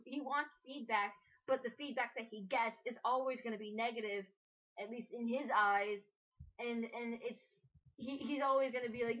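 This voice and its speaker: Sarcastic woman host, highish sharp voice, rambly mocking commentary, bit muffled lo-fi. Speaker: host of an amateur critique.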